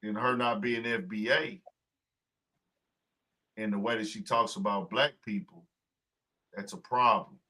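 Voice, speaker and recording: A man hosting a podcast in casual chat, talking in a profane, emphatic, animated way. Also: on the low side, a little slow, pauses often. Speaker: podcast host.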